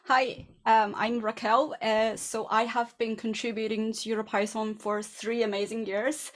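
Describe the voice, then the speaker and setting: A woman's voice: fairly high pitch, level tone, unhurried. A woman introducing herself as a board candidate at an online meeting, speaking with enthusiasm and frequent fillers.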